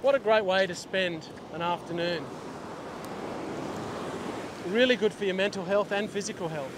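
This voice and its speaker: High-voiced Australian man outdoors by the surf. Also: normal speed. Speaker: fishing instructor and vlogger. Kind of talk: casual instructional talk to camera. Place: open ocean beach, outdoors. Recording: outdoor wind and surf noise. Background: waves, surf and wind.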